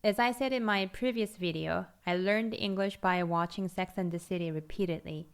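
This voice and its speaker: A woman whose first language is Korean, calmly walking through a tutorial as a scripted voice-over, explaining steadily step by step. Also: mid-range voice, normal speed, clean tone. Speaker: language-learning video host.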